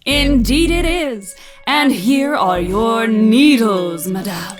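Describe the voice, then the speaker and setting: An actress playing a chipper, businesslike wish demon in an audio drama comedy, with a breezy, salesy, jokey manner. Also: female voice, on the high side, expressive, slow pace, soft background music.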